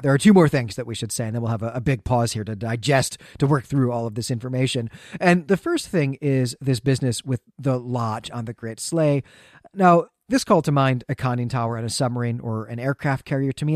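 A man co-hosts a literary discussion podcast. He explains details in a conversational way, pausing to check that the point lands. His mid-pitched voice is recorded very dry and close, with hardly any room around it. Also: darkish tone, dry recording. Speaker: podcast host.

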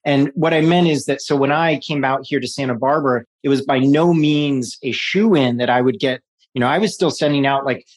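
A man's voice: medium pitch, normal speed. Rambling man in his forties. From New York City. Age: 40s. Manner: rambling, many restarts and fillers, laughs often. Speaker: guest. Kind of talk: casual interview conversation.

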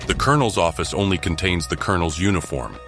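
A man's voice: deep voice, expressive, fast. A man narrating an audio drama in a scripted storytelling style. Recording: loud music score behind.

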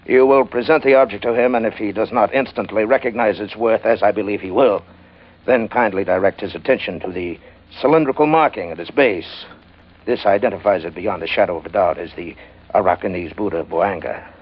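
Pompous shady rich-talking gent, kinda deep, hems and haws, old radio show, muffled sound. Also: male voice, a little fast, husky. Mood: smooth, scheming. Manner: pompous, flowery, hesitant hemming. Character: pompous, florid, shady gentleman yacht owner, hard up. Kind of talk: old-time radio drama acting. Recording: dull, band-limited old radio recording.

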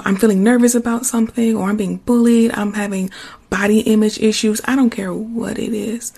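A hoarse woman chatting casually and reassuringly to her livestream viewers, often repeating herself. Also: mid-range voice, warm tone. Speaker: ASMR livestream host. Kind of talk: casual chat to live viewers.

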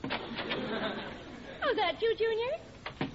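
A woman's voice: very high voice, huge pitch swings, very slow, a crowd in the background, exaggerated voice. An actress playing a sweet, affectionate housewife and mother in an old radio comedy. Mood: affectionate, concerned. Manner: affectionate, solicitous. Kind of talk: 1940s radio comedy acting. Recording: muffled old radio recording with noise.

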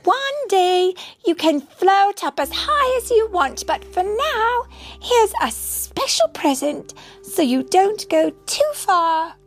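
A woman's voice: very high voice, big pitch swings, takes it slowly, faint music behind, voice pushed up, exaggerated voice. A woman voicing an affectionate, bossy young cartoon puppy girl playing mother in a storybook, with exaggerated childlike expression. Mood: tender.

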